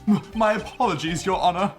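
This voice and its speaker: A man voicing a shifty, quick-tempered witness in a video game fan dub, stammering and defensive. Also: high-pitched, lightly acted. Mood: nervous. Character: shifty, jittery male witness, quick to anger. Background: game music.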